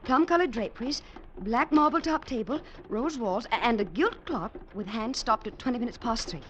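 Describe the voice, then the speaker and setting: A woman's voice: fairly high pitch, expressive, moderate pace, fairly bright tone, muffled recording, a little hiss or hum. An actress playing a distraught, breathless young woman in an old radio drama, anxious and stammering. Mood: insistent. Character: distraught young woman searching for her missing mother. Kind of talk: 1940s-50s radio drama acting with first-person narration. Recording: old radio recording with music behind.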